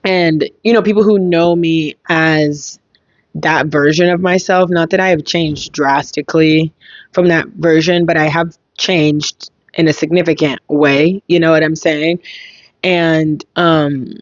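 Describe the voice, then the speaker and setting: A young woman hosting a casual solo podcast, thinking aloud in a reflective way, her voice slightly hoarse. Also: low-pitched, steady tone, a little slow, dry recording, lo-fi sound. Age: young adult. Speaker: podcast host.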